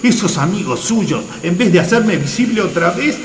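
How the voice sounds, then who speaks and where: A man's voice: high voice, expressive, normal speed. An actor playing an aggrieved, melodramatic invisible man in a radio play, complaining with dramatic emphasis. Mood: indignant. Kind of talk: radio theatre acting. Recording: echoey, slightly noisy room.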